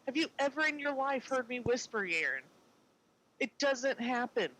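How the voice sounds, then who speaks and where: Loud, bright-voiced playful woman. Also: sits high, moderate pace, low-quality recording. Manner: playful, lively banter. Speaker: podcast cohost. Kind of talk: casual podcast chat. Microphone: desk microphone.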